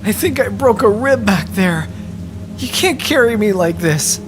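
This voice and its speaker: A man's voice: high voice, lively intonation, a little slow, music in the background, voice pushed up. A man voicing a terrified, injured boy scout in a horror story, pleading in desperate wails. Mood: pained. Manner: desperate, wailing pleas.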